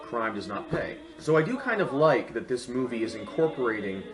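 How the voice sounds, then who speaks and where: A man's voice: mid-range voice, big pitch swings, a little fast, a crowd in the background. A man giving an informal, comedic film review with sarcastic, exaggerated commentary. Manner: sarcastic, exaggerating for comic effect. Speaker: video reviewer and host. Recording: roomy echo under music.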